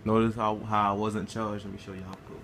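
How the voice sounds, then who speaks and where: A man's voice: fairly low pitch, little pitch movement, fairly quick, fairly bright tone, a little hiss or hum. A man casually talking through a game walkthrough, laid-back and swearing freely as he plays. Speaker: gameplay guide narrator.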